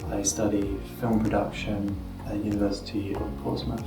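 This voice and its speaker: A young man from England, a film student, giving a relaxed, slightly hesitant interview testimonial. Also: on the low side, moderate pace. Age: young adult. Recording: roomy sound with music bed.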